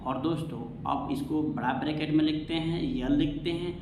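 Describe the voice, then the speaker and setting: A man's voice: a little high, fairly even intonation, normal speed. Guy doing exam prep science class, keeps asking then answering, roomy echo, bit of background noise. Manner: repetitive, asks and answers own questions. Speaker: science teacher. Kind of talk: exam-prep lesson with rhetorical questions. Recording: echoey room, faint background noise.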